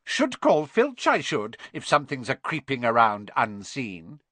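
A man's voice: medium pitch, huge pitch swings, measured pace, sharp tone, no room sound, exaggerated voice. A man voicing a mischievous, wicked poltergeist in a storybook, with a sing-song, oily, fawning manner. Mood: sly, taunting.

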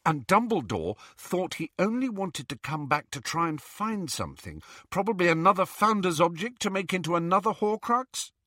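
A man's voice: sits high, expressive, moderate pace, few pauses, bright, forceful tone, dry recording, clean studio sound, pitched above the performer's own voice, exaggerated voice. A man voicing a brainy, cautious teenage witch girl who speaks in a precise, reasoning manner, in an audiobook. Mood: reasoning, doubtful. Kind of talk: audiobook character dialogue.

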